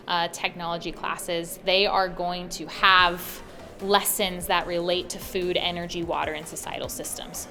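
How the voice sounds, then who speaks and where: Low-voiced American woman over background music. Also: bright, forceful tone. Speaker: education program coordinator. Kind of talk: promotional interview. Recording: music bed under speech.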